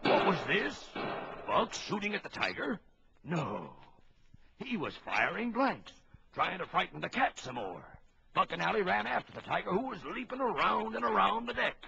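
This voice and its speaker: A man's voice: sits high, vocal fry, exaggerated voice. A man playing an authoritative ship's captain who narrates a suspenseful animal adventure for a children's story record. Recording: old lo-fi children's record, music behind.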